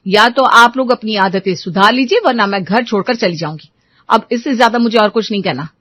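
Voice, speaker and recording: A woman's voice: mid-range voice, a little fast, fairly bright tone, natural voice. A woman voicing a strict, fed-up young daughter-in-law, firm and lecturing, in a family story. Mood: fed up, stern.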